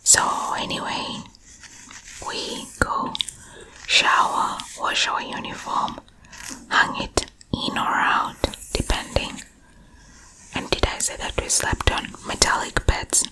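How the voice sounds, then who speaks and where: A woman's voice: slow pace, pauses often, poor audio. A woman from Uganda telling personal stories in a conversational, rambling ASMR style. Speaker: storyteller recalling her own school days. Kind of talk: whispered ASMR storytime.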